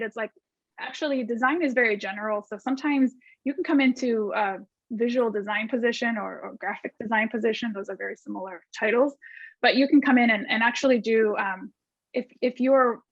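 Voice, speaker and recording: A woman's voice: a little high, no room sound. A woman from Argentina, a guest designer, answering student questions in a chatty way with many restarts and fillers. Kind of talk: casual video call Q&A. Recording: dull lo-fi call audio.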